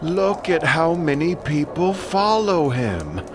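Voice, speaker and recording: A man's voice: a little high, very animated, takes it slowly, music in the background. An actor playing an aged, curious king who marvels aloud in a dramatic audio production. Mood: marveling, curious.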